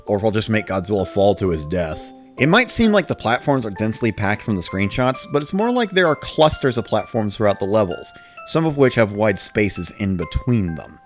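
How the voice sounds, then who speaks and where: A man's voice: lowish voice, big pitch swings, a little fast, soft background music. A man giving a scripted first-person narration, like a diary of playing a video game. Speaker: narrator of a dramatic reading.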